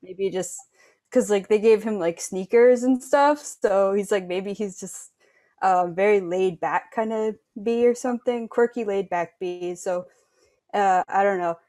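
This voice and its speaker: A woman's voice: medium pitch, unhurried, warm tone. A woman taking part in a workshop, chatting casually and tentatively, peppering her talk with slangy filler. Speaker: workshop participant. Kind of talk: casual chat.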